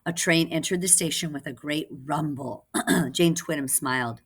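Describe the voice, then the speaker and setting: A woman's voice: low-pitched, unhurried, breathy edge. A woman reading teacher giving a children's read-aloud, instructive, with explanatory asides to young listeners.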